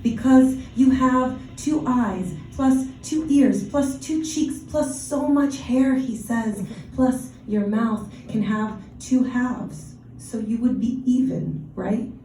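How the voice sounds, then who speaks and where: A woman poet, from Ukraine and raised in the Washington DC area, with Russian as her first language, giving a measured, deliberate poetry reading with brief casual asides. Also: a little high, warm tone, a little hiss or hum. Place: reading venue, roomy space. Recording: roomy live recording from a distance.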